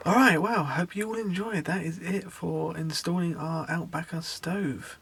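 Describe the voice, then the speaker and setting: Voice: mid-range voice, unhurried, vocal fry, fairly bright tone, slight background noise. A van-conversion vlogger chatting casually with many fillers and restarts, voice a little worn. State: losing voice. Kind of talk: casual vlog narration. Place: inside a small campervan.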